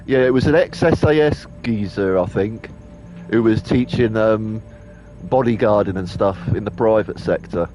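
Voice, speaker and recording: A man talks casually and crudely over a game, joking and swearing. His voice is on the low side. Faint game music runs beneath him. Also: normal speed, mellow, poor audio. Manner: sweary, jokey, mocking, laughs often. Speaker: gaming commentator. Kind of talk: casual gameplay commentary.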